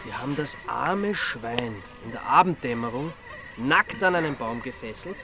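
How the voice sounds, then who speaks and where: A man's voice: mid-range voice, animated, normal speed, noisy background, close to an everyday voice. An actor with an Austrian accent playing a sardonic, aggrieved, colloquially exaggerating man in a radio drama. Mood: grim storytelling. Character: sardonic, aggrieved man, prone to lurid storytelling.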